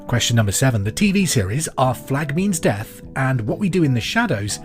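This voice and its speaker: A man presenting a podcast pub quiz in a scripted, clear and deliberate way. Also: mid-range voice, sing-song, faint music behind. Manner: repeats questions clearly and deliberately.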